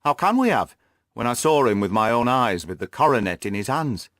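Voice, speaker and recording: A man's voice: mid-range voice, big pitch swings, fairly quick, fairly bright tone, no echo. A man voicing an anguished older banker, a dignified and despairing father, in an emotional, lamenting audiobook performance. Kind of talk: audiobook character acting.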